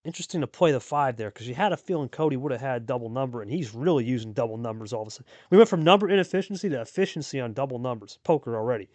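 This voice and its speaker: A man's voice: medium pitch, expressive, very fast, hardly any pauses, a little mellow, dry recording. A man hosting and calling a card game match in a casual, chatty play-by-play style, repeating phrases for emphasis. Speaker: host and play-by-play commentator.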